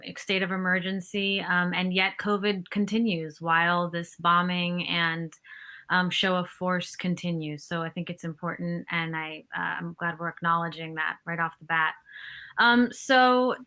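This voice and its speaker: Woman, 30s, from the West Bank; lowish bright tone, thoughtful expert guest. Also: steady tone. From West Bank, Palestine. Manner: thoughtful, measured, many fillers. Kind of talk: interview answer.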